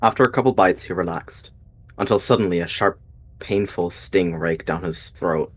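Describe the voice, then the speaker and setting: Husky, low-voiced man narrating a dramatic tale. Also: normal speed, fairly bright tone. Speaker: roleplay narrator.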